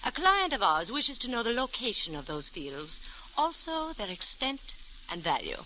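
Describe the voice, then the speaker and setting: Alluring female spymaster, poised and formal, lively sharp voice, vintage radio thriller. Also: on the high side, moderate pace, rough recording. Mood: coolly businesslike. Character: alluring, commanding female foreign intrigue ringleader. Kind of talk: old radio drama acting. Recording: hissy old-time radio recording.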